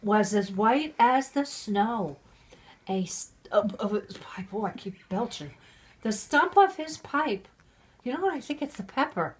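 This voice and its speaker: A middle-aged woman who is drunk, reading aloud haltingly with chatty asides, stumbling over and repeating words. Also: fairly high pitch, lively intonation. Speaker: mother reading a Christmas poem aloud.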